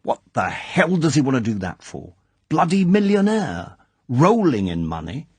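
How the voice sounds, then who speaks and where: A man's voice: medium pitch, huge pitch swings, unhurried, a little mellow, slightly rough, no echo, pitched above the performer's own voice, heavily acted. A man voicing an indignant older club chairman who bursts out in angry, worried exclamations. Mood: furious. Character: blustering older male club chairman, easily angered.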